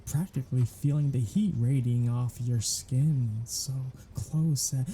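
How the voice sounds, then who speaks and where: A man's voice: medium pitch, a little slow, dark tone, a little hiss or hum, natural voice. A man voicing a sardonic, flirtatious male vampire held captive on a road trip, in an ASMR audio drama. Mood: hungry, seductive. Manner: teasing, sardonic, flirtatious banter.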